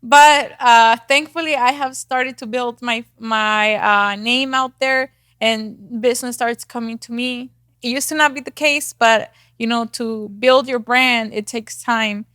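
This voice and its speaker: A woman's voice: fairly high pitch, measured pace, fairly bright tone, clear, resonant tone, dry recording, clean studio sound. A woman from Mexico with a thick Mexican Spanish accent, talking candidly as a real estate agent guest, with fillers and restarts. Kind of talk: casual interview talk.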